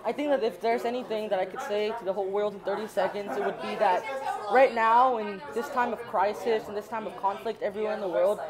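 A young woman from Vietnam speaking earnestly and fluently to camera in a busy cafeteria. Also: medium pitch. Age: young adult. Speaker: interviewee. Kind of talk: casual speech to camera. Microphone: earbud headset mic.

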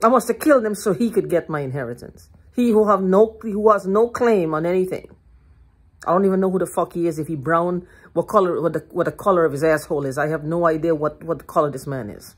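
Husky-voiced older woman venting. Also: on the low side, quick pace. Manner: heated, profane, repetitive, restarting words. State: hoarse throat. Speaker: vlogger. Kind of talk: agitated rambling monologue to camera. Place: living room at home, indoors. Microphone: phone held at arm's length.

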